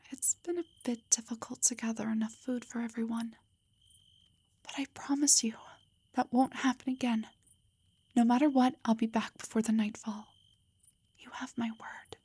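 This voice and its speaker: A woman playing a loving, protective elf wife in an intimate roleplay audio, speaking tenderly and reassuringly in a breathy whisper. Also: fairly high pitch, mellow, dry recording. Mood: calm explaining, then earnest reassurance.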